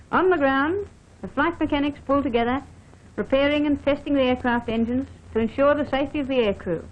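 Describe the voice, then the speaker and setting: A woman officer from Australia reads scripted training film narration, formally instructing the listener directly. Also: sits high. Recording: dull band-limited vintage film soundtrack.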